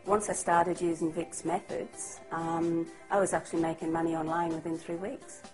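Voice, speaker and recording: Low-voiced woman testimonial. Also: little pitch movement, moderate pace, rough recording. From Australia. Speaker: customer testimonial. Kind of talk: testimonial to camera. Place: indoors, high-rise room with window view. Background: soft music.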